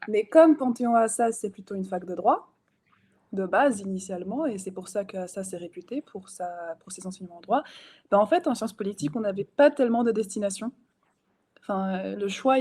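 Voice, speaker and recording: A young woman answers interview questions spontaneously. She hesitates often and laughs easily, with a warm, mellow voice. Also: mid-range voice, fairly quick, slightly rough. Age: young adult. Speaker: guest. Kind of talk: casual interview chat.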